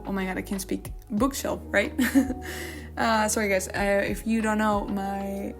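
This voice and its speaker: A woman's voice: medium pitch, fairly even intonation, measured pace. A woman whose first language is Spanish, giving casual, chatty gaming commentary in an informal way, sometimes searching for her English words. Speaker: gaming video creator. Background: background music.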